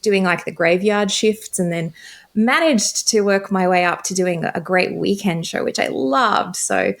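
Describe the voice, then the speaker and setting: Chatty woman with softened Australian accent. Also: medium pitch, measured pace. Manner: chatty, upbeat, frequent likes and laughs. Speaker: guest, tech creator. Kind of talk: casual interview chat.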